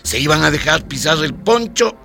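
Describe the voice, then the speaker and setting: A man's voice: fairly high pitch, animated, normal speed, gravelly, sharp tone, soft background music, heavily acted. An actor playing a chatty old Ecuadorian grandfather in a radio drama, telling things in a folksy way full of exclamations. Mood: storytelling. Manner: folksy storytelling with diminutives and exclamations.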